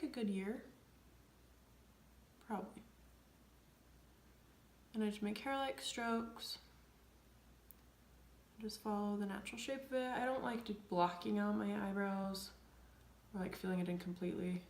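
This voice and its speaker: A young woman coming down with a cold, chatting through a makeup tutorial in a rambling way, often dropping to soft near-whispers. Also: mid-range voice, moderate pace, pauses often, slight background noise. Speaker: beauty vlogger. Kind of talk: casual makeup tutorial chat. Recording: echoey room.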